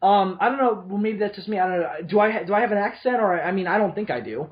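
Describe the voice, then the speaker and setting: A young man from New York with a light New York accent and a high-pitched voice talks casually, telling stories with plenty of ums and restarts. The audio is muffled and lo-fi. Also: lively intonation, quick pace. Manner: rambling storyteller with many fillers and restarts. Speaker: podcast host. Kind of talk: casual chat.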